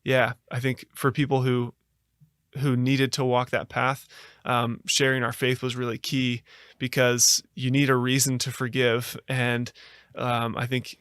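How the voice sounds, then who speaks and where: An American man speaks in an even, level tone with a smooth, clean voice. He tells his story earnestly, pausing often with fillers and restarting his thoughts. The recording is dry and close, with no room sound. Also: mid-range voice, no echo. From United States. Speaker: podcast guest. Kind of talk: reflective storytelling.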